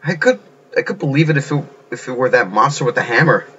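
A man's voice: mid-range voice, lively intonation, fast talker, a bit bright, highs cut off, slight background noise, natural voice. A man voicing an alarmed, blunt teenage schoolboy in a game, incredulous and hesitant.

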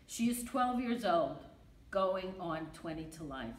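A woman's voice: fairly low pitch, expressive, a little slow. An older woman with a Brooklyn accent reading a poem at a podium, emphatic and rhythmic, repeating her refrains. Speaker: poetry reader. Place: library meeting room. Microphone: podium mic from a far camera. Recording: echoey room with faint noise.

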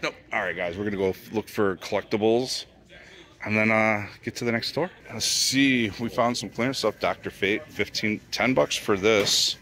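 Excited man browsing toy aisles. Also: on the low side, normal speed. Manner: excited, rambling, listing items as he browses. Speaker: toy collecting vlogger. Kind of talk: casual store walkthrough commentary. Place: retail store aisles.

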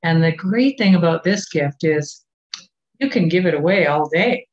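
A Canadian woman in her sixties giving a children's lesson at a church service over a webcam from a small home office, talking gently and asking coaxing questions. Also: on the low side, lively intonation, moderate pace. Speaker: children's time leader. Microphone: webcam on a video call. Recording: dull, muffled webcam sound.

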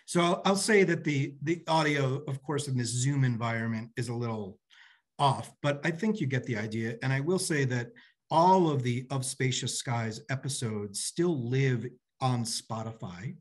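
Man in his forties, husky voice, medium pitch, informal presenter, video call. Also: moderate pace. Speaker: theatre director presenting a project. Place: small office, indoors. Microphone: computer mic on a video call.

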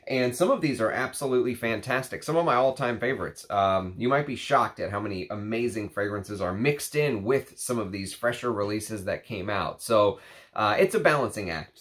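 A man's voice: mid-range voice, expressive, brisk, a bit bright. A man in his thirties, congested from a cold and a little nasal, talking casually to camera in a rambling way with lots of fillers. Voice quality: slightly nasal. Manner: chatty, rambling, frequent fillers. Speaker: perfume reviewer. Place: home room with shelves of perfume bottles.

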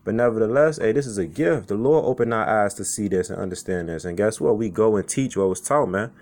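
A man's voice: fairly low pitch, fairly quick, a little mellow. A man from Chicago giving an informal sermon as a street preacher, reading scripture and talking it over in a loose, conversational way, often tagging lines with "man" and "okay".

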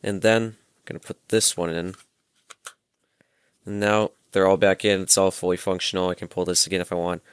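A man's voice: deep voice, flat delivery, moderate pace, clear, resonant tone, dry recording, clean studio sound. A young adult man, giving a casual hands-on demonstration and explaining informally, step by step. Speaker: presenter of a gadget tutorial. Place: small room at home, indoors. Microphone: headset microphone.

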